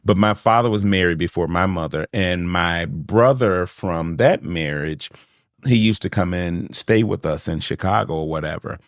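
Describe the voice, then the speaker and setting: A man's voice: sits low, moderate pace, no echo, studio quality. A man hosting a podcast, chatting casually and animatedly, laughing often and acting out quotes. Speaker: podcast host. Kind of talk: casual chat.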